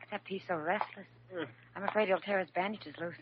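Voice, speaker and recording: An actress in an old radio drama playing a calm, kindly nurse with a gentle manner, on a muffled and noisy recording. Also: female voice, medium pitch, a little fast, close to an everyday voice. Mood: soothing.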